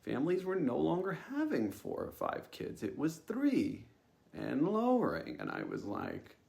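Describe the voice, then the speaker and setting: A young man telling a personal story informally, in a halting, hesitant way with frequent ums and a wry, self-aware tone. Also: a little high, huge pitch swings, vocal fry, a little mellow.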